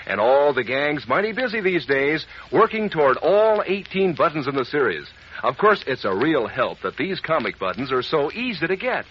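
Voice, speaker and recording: An upbeat, folksy pal in a vintage radio commercial. His voice is animated, fairly high and husky, with an eager sales pitch on a muffled old recording. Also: moderate pace, slight background noise. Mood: cheerful, eager. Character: Dan, friendly upbeat boy pitching cereal to his pals. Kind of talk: 1940s radio advertising skit.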